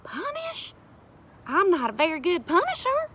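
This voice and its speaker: Woman playing a gentle, high-voiced country woman. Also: huge pitch swings, unhurried, heavily acted. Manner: reassuring, plain-spoken. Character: Sari, gentle, patient, folksy country woman.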